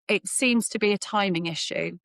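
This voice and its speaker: A woman's voice: mid-range voice, moderate pace, fairly bright tone, dry recording, clean studio sound. A woman chatting casually as a healthcare professional on a mentoring call.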